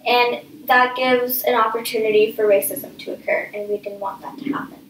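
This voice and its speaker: Higher-voiced woman guest in an echoey room. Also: steady tone, moderate pace, a little hiss or hum. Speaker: interview guest. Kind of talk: explanatory answers in a staged interview. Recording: echoey room, distant microphone.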